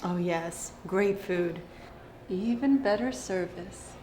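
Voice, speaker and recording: A woman's voice: fairly low pitch, slow, dark tone. A young woman acting a self-assured femme fatale novelist in a comedy-drama, breezy and self-important. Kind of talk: acted dialogue in a comedy-drama web series. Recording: roomy sound with faint background noise.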